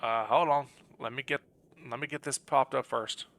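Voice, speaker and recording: A man's voice: mid-range voice, fairly even intonation, normal speed, gravelly, a bit bright. A man livestreaming a game, chatting casually in a friendly, rambling way as he thinks aloud. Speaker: game streamer. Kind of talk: casual livestream chat over gameplay.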